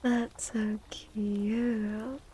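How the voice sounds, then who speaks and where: A woman livestreamer chatting in a soft, breathy ASMR style, gentle and unhurried, often dropping into whispers close to the microphone. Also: mid-range voice, level tone, slight background noise, poor audio.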